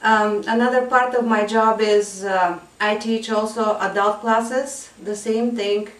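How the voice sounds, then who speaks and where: A woman's voice: mid-range voice, steady tone, measured pace, a bit bright. Woman guest, echoey distant sound. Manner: earnest, reflective, with filler ums. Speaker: English teacher for immigrants and refugees. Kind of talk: interview guest, casual. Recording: echoey room, voice a bit off-mic.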